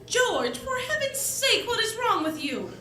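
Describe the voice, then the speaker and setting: Worried, patient wife played by a woman, high lively voice, roomy stage radio drama. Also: a little slow, heavily acted. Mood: exasperated. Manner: soothing, anxious. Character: concerned, patient wife and mother. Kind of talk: live stage radio play acting. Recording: roomy live stage, music behind.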